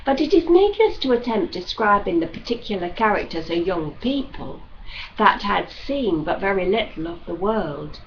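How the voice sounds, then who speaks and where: A woman reading a classic novel as scripted narration, with measured, deliberate phrasing, like an audiobook reader. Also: mid-range voice, sing-song. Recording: dull lo-fi audio with background noise.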